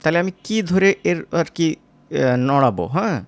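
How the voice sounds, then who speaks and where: A man teaching as a software instructor, informal and step by step, often checking in with short tag questions. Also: on the high side, expressive, normal speed, no room sound. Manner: conversational, seeking agreement with short tag questions. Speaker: animation tutorial instructor.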